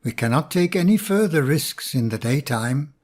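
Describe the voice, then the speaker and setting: A man voicing a sly, scheming male fox in a storybook reading, smooth and persuasive. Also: fairly high pitch, lively intonation, moderate pace, darkish tone.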